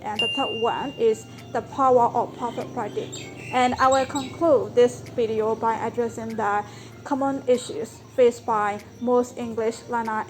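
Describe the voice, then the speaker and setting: A young woman with a Burmese accent, a native Burmese speaker, explaining language learning in an earnest, scripted vlog lesson with frequent "so" and "like". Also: fairly high pitch.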